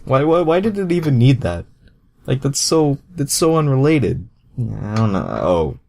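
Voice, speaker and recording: A man's voice: mid-range voice, very animated, measured pace, gravelly, darkish tone. A man reading fan fiction aloud as an amateur narrator, with sweary, self-mocking, exasperated asides.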